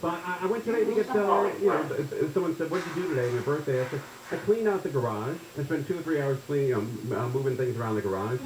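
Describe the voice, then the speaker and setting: A man's voice: on the high side, fast, hardly any pauses, echoey room, a loud crowd, poor audio. A man in his forties hosting a casual talk radio show, rambling and folksy, often tagging his sentences with "right?". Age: 40s.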